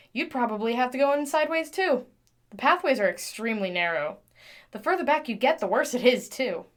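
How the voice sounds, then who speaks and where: A woman playing a chatty, gentle mechanic in an audio drama, rambling affectionately to a broken machine. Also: mid-range voice, natural voice. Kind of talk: audio drama monologue, conversational.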